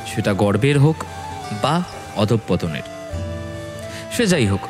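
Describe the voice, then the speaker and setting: A man narrating a scripted horror story in a dramatic, expressive storytelling manner with measured phrasing. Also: mid-range voice. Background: background music.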